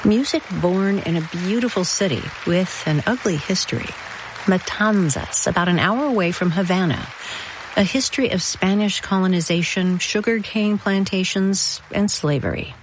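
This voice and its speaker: A woman hosting a classical music radio show, reading scripted introductions in a measured storytelling manner. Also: medium pitch, slight background noise, rough recording.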